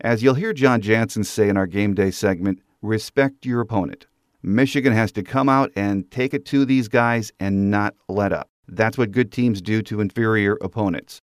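A man's voice: lowish voice, moderate pace, dry recording, studio quality. A man hosting a sports podcast, reading scripted commentary to fans. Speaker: podcast host.

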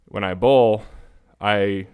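A man with a very low, clean and resonant voice in a casual podcast conversation. He explains things informally, working through his thoughts as he talks. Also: steady tone, takes it slowly, a little mellow, smooth. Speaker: podcast co-host.

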